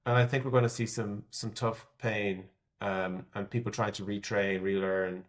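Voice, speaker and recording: Low-pitched Irish man thinking aloud. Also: steady tone, normal speed. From Ireland. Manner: candid, thinking aloud, hedging his predictions. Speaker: tech executive, podcast guest. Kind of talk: conversational interview answers.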